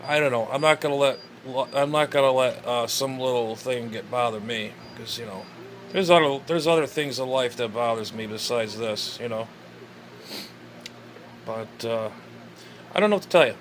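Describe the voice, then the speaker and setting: A man who runs a ham radio station, talking casually and hesitantly with many pauses and fillers. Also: medium pitch, fairly bright tone, a little hiss or hum. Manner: hesitant, rambling, lots of uh. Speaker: amateur radio operator. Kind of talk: casual vlog commentary.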